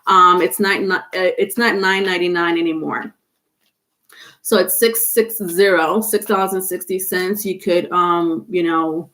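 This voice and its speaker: A woman's voice: on the low side, a bit bright. A woman with a stuffy nose doing a casual live tarot stream, chatting with viewers and full of fillers, without a microphone. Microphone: no microphone, device mic.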